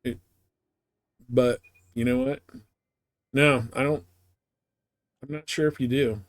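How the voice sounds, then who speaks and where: A man streaming a game live, chatting casually and thinking out loud in a rambling way. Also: mid-range voice, steady tone, slow pace. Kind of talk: casual gameplay chat.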